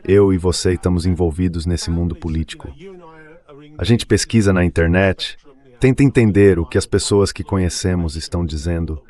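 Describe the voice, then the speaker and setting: A man's voice: sits low, a little mellow. A man speaking as an interview guest, commenting on politics in a conversational, emphatic way that leans on repetition.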